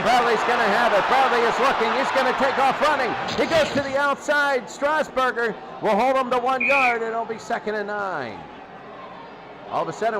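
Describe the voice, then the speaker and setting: A man's voice: sits high, noisy background. A man doing live football play-by-play in short, repetitive sentences, as the announcer. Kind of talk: live play-by-play sports commentary.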